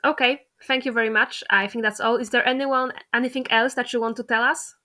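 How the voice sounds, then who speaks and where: A woman hosting a casual interview, speaking in a halting, appreciative way. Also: a little high, steady tone, pushed and bright. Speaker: interviewer, host.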